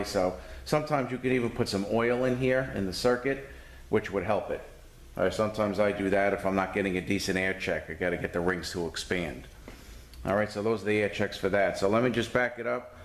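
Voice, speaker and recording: Low-voiced man in an echoey room. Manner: informal, step-by-step explaining. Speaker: transmission mechanic demonstrating repair. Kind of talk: hands-on instructional walkthrough. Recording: roomy echoing space, distant mic, faint noise.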